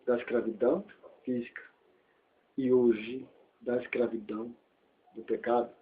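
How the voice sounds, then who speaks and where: A man's voice: medium pitch, level tone, unhurried. A Brazilian man preaching a sermon, retelling a Bible story dramatically and putting rhetorical questions to his listeners. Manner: dramatic storytelling, acting out dialogue. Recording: thin, phone-like narrow-band audio.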